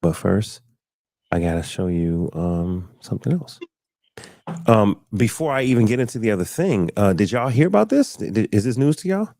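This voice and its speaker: Laid-back low-voiced male podcast host. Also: sing-song, normal speed, creaky, dark tone, no room sound, clean studio sound. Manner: laid-back, laughs often, repeats himself. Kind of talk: casual podcast chat.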